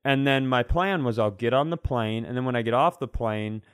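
A man's voice: mid-range voice, moderate pace, clear, resonant tone, no echo. Casual man chatting candidly, close dry sound. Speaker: podcast co-host and comedian. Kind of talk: casual chat, comic storytelling.

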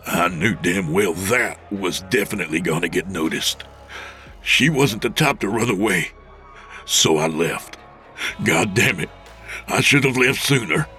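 A man's voice: low voice, lively intonation, a little slow, pushed and bright, faint music behind, pitched below the performer's own voice, heavily acted. A man voicing a cowardly, sleazy male crook who stammers and whines. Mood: scared. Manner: stammering, whining.

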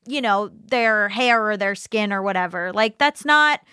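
A woman's voice: mid-range voice, expressive, a little slow, bright, forceful tone, smooth, dry recording, studio quality. A woman co-hosting a podcast in casual chat, agreeable and chatty with many fillers. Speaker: podcast co-host.

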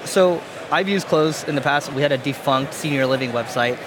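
A man hosting a podcast interview, asking quick, casual questions. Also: medium pitch, noisy background. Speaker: podcast host.

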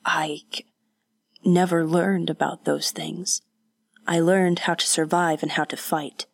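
Een vrouw die speelt een onhandige jonge krijger. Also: female voice, on the low side, level tone, a little slow, creaky, no room sound, clean studio sound. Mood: earnest. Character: young male warrior, awkward and earnest.

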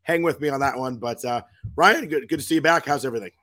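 A man with a hoarse, worn voice hosting a casual sports podcast, chatty with frequent restarts. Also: mid-range voice, quick pace, fairly bright tone. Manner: chatty, lots of fillers and restarts. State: hoarse from a cold. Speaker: podcast host.

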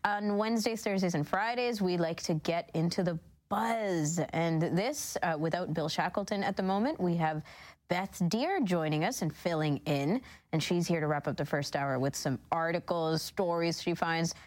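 A woman's voice: lowish voice, normal speed. Upbeat woman co-hosting a talk show. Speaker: radio talk show co-host. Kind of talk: casual upbeat broadcast hosting.

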